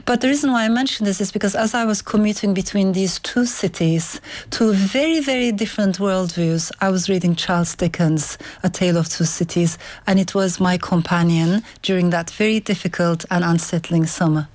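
A woman novelist from Turkey, a native Turkish speaker, answering interview questions in a thoughtful, measured way with occasional restarts. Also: medium pitch, a little mellow. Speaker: novelist guest.

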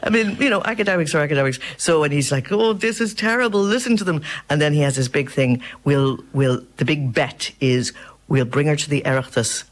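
A man's voice: a little high, brisk. A man with an Irish accent chatting animatedly in an interview as a theatre director.

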